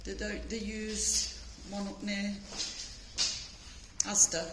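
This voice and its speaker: A woman's voice: mid-range voice, little pitch movement, slow. A talkative older woman chatting casually as a member of a craft group. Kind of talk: casual chat. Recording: echoey noisy room.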